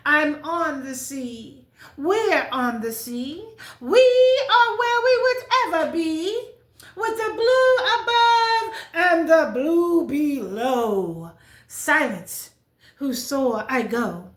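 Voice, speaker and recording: An American woman in her fifties speaks in a somewhat high voice, recorded in a noticeably echoey space. She shares stories in a candid, conversational way, often repeating a phrase for emphasis. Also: expressive, drawn-out words. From California, United States. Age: 50s. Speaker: vlogger sharing life stories. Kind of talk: casual personal storytelling to camera. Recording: roomy, echoing sound.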